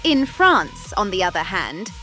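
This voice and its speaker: A woman voicing a vengeful villainess in an animated series, excitable and coldly menacing as she leads her sisters. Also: medium pitch, a little slow, faint music behind. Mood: scheming, menacing. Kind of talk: animated web series dialogue.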